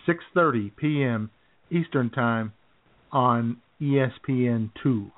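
A man's voice: mid-range voice, slow. A man chatting casually as a talk show co-host, with a laid-back, hesitant, rambling manner. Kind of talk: casual chat and banter. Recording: thin phone-line sound.

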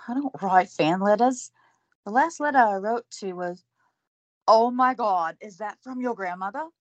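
A woman's voice: mid-range voice, measured pace, dry recording, highs cut off, close to an everyday voice. A woman playing a flustered, earnest young woman in an audio drama.

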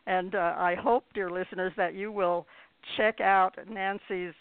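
A woman hosting a radio interview, speaking in a halting, hesitant way. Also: medium pitch, fairly even intonation, clear, resonant tone, no room sound. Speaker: radio show host. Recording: tinny phone-like line.

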